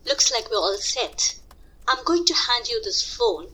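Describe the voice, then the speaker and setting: A woman's voice: a little high, very animated, a little slow, bright, forceful tone, breathy edge, exaggerated voice, rough recording. A woman playing a composed test moderator in an audio drama, giving instructions in a professional, reassuring manner. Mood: calm, instructive.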